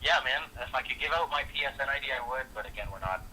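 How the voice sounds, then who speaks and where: A man's voice: mid-range voice, animated, fast, pushed and bright. A man working as a customer support agent on a phone call, speaking in a polite, informal and apologetic way. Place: on the other end of a phone call. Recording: phone line with faint noise.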